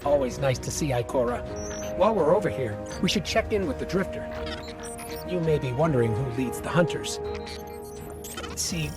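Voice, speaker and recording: An actor playing a small, friendly and helpful robot guide in a video game, explaining things in a chatty way. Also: male voice, mid-range voice, close to an everyday voice. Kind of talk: scripted game dialogue, guided tour. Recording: music behind the voice. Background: game music.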